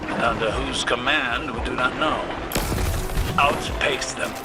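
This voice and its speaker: An actor voicing a formal, grave strategist in a measured game mission briefing. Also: male voice, mid-range voice, over loud music, natural voice. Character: formal, grave male strategist advising on the enemy.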